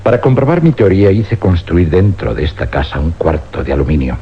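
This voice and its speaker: An actor playing a weary older scientist in a suspense radio drama, speaking with grave deliberation and dramatic pauses in an accent from Spain. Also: male voice, low voice, sing-song. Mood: grave, haunted. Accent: Spanish, from Spain. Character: weary older scientist confessing an uncanny experiment. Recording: dull, noisy old radio recording with hum.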